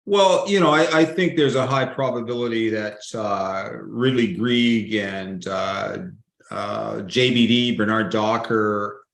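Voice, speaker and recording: A man's voice: lowish voice, slow, gravelly, a bit bright. A man, a guest writer on a casual podcast roundtable, talking in a folksy way and reaching for old sayings. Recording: roomy echo.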